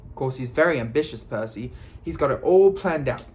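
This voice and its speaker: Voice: mid-range voice, animated, a little fast, darkish tone, lightly acted. Sardonic storybook schoolboy wizard, played by a narrator: muttered, mocking asides, young. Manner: sarcastic, muttering.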